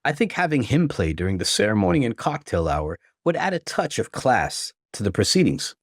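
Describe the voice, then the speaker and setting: A man's voice: mid-range voice, wildly swooping pitch, fairly quick, creaky, no echo, clean studio sound, full character voice. A man voicing a presumptuous older father-in-law in a story, formal and insistent.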